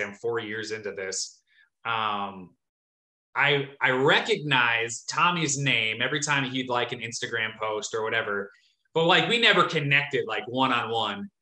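A Midwestern man hosts an interview over a video call, his voice sharp and bright. The room adds plenty of echo, and he rambles cheerfully with lots of fillers. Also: mid-range voice. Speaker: YouTube host and interviewer. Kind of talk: casual interview chat. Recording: echoey room.

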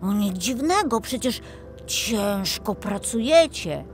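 A woman's voice: medium pitch, expressive, takes it slowly, soft background music. A woman voicing an eager little boy of five in a children's audio tale. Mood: excited, eager. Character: eager five-year-old boy who loves adventure.

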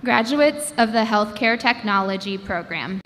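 Woman, higher voice, slow and measured, announcing names over a PA, long echo, crowd murmur behind. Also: animated, a bit bright. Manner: measured, announcing each name with pauses. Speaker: commencement name reader. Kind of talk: reading graduate names at a ceremony. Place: large indoor gymnasium. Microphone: podium microphone over a PA system. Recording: long hall reverb with crowd noise. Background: crowd ambience.

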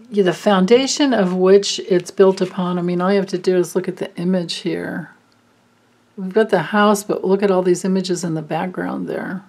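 A woman doing a card reading, thinking aloud with many pauses and fillers. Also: on the low side, a little mellow. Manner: slow, musing, thinking aloud. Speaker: card reader. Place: indoors at a table, hands and cards filmed from above.

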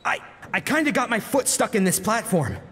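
A man's voice: sits high, big pitch swings, normal speed, a bit bright, long reverb tail, slight background noise, pitched above the performer's own voice. A man voicing an earnest, apologetic young woman fighter in an audiobook. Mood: sheepish.

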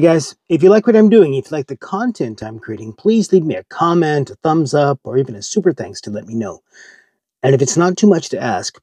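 Mellow, husky middle-aged man. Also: mid-range voice, no echo. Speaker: ham radio channel host. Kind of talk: casual update to camera, answering viewer questions.

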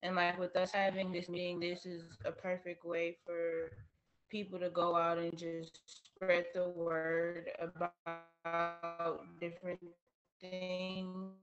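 A woman student on a panel, speaking slowly and hesitantly over a video call, trailing off at the end. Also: lowish voice, monotone. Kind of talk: casual panel comments. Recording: dull lo-fi audio with faint guitar.

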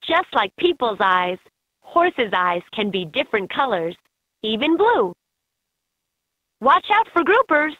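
A woman reading scripted educational narration for a children's game in an expressive, instructive way. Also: a little high, takes it slowly, long pauses, no echo. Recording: slightly dull, lo-fi game audio.

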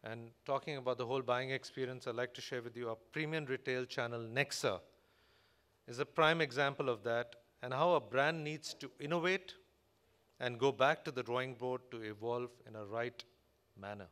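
A man with an Indian accent delivering a formal, measured, scripted keynote speech at a conference as a corporate executive. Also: mid-range voice, fairly bright tone, clear, resonant tone. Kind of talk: prepared conference presentation read from a script. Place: large hotel conference hall, on stage at a podium.

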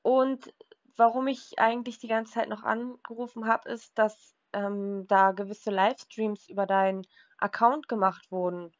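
A woman's voice: medium pitch, fairly even intonation, a little slow. A woman chatting casually on the phone, hesitant and matter-of-fact. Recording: dull lo-fi phone call.

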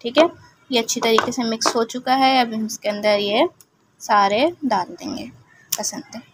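A woman calmly guides viewers through a recipe step by step in a home kitchen, repeating "okay" and "look here" as she cooks. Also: on the high side, normal speed, a little hiss or hum. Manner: homely, repeats "ठीक है" and "ये देखें", explains patiently. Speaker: home cooking channel host.

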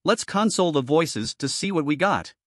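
Male tutorial narrator, slightly high-pitched, scripted instructional read, very dry sound. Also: expressive, normal speed, a bit bright, no echo. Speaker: programming tutorial presenter.

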